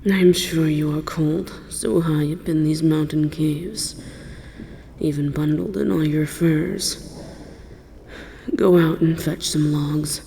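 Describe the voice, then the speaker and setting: A woman voicing an aloof, wounded, world-weary dragon in a measured, solemn, formal roleplay monologue. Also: low-pitched, fairly even intonation, vocal fry, warm tone, slight background noise, exaggerated voice. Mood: grudgingly grateful. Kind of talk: slow dramatic roleplay audio monologue.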